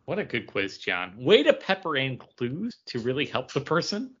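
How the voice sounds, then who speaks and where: A man with a mid-pitched voice talks casually, like a podcast co-host in the middle of a trivia game. The recording is dull and lo-fi, with the top end rolled off. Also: wildly swooping pitch, moderate pace, noisy background. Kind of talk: casual chat during a pub trivia game. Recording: dull, band-limited lo-fi audio.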